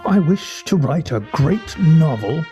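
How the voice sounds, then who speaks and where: A man's voice: fairly high pitch, big pitch swings, takes it slowly, mellow, faint music behind. An actor in a radio play playing a witty, pompous man nearing fifty, with ornate phrasing and wry, theatrical delivery. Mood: grandiose, playful.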